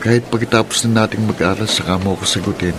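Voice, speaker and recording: A man's voice: on the low side, fairly even intonation, quick pace, natural voice. An actor playing a shy young male student who hesitantly courts a classmate in a radio drama. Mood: earnest. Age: young adult. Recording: noisy radio drama recording.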